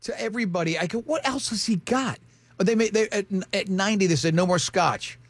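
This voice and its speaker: A man's voice: sits high, no echo. A man in his fifties hosting a talk show, chatting and joking with co-hosts in a rambling way. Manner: joking, rambling, quick to laugh. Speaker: radio show host. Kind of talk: casual banter with co-hosts.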